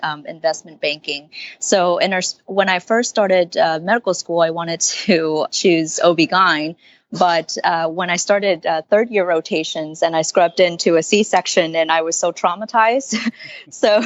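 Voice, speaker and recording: A woman's voice: lowish voice, no echo. A woman in her thirties from Vietnam and California, answering conversationally as a podcast guest, in a muffled recording. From born in Vietnam, grew up there and in California. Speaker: podcast guest, hospitalist doctor. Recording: muffled, dull, dry close sound.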